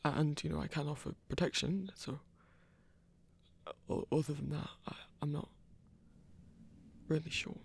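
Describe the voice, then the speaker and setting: A performer playing a lonely, self-doubting werewolf in an intimate audio roleplay, gentle and awkward, with a stammering, hesitant delivery. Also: mid-range voice, fairly even intonation, normal speed, vocal fry, long pauses, a little hiss or hum, lightly acted. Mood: flustered, unsure.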